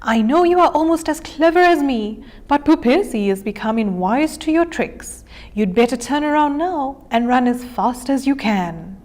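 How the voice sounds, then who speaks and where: Wise male owl for a children's story played by a woman: high, hooting, knowing. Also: animated, moderate pace, darkish tone. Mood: knowing warning.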